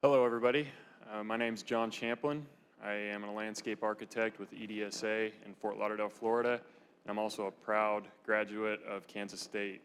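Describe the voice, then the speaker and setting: Man, low voice, friendly self-intro, reverberant hall. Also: level tone, brisk, fairly bright tone, slight background noise. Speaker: landscape architect.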